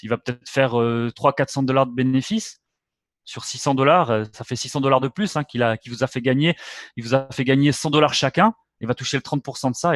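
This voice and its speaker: A man's voice: mid-range voice, no echo, studio quality. A man hosting a trading webinar, talking bluntly and lecturing his viewers directly. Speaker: webinar host.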